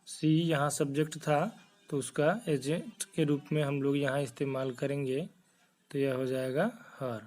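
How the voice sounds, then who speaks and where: A man with an Indian accent teaching grammar step by step, mixing in English terms and setting out each part in a deliberate, instructional way. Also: medium pitch, little pitch movement, darkish tone, soft background music. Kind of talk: step-by-step lesson in Hindi mixed with English terms.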